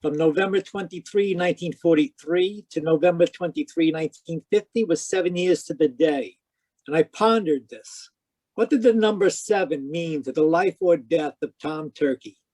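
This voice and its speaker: A man's voice: on the high side, moderate pace, darkish tone, no echo, lightly acted. A man playing a sardonic, wisecracking private detective, narrating an old-time radio mystery in a wry scripted style.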